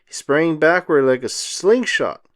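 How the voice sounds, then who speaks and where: Animated male storybook narrator. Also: a little high, a little slow. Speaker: children's read-aloud narrator.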